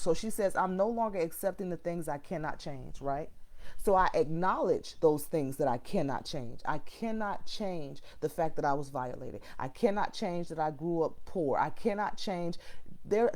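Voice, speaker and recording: A woman life coach hosting a live morning talk, slightly raspy today, speaking in a motivational, repetitive preaching manner. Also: low voice, darkish tone. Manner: preachy, repeats phrases for emphasis. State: a little raspy.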